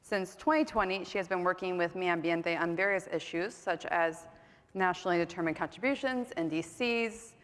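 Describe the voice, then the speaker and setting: A woman's voice: fairly low pitch, normal speed. A woman moderating a conference panel, introducing speakers in a chatty way with frequent ums and self-deprecating asides. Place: conference hall. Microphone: mic on stage. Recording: echoey hall.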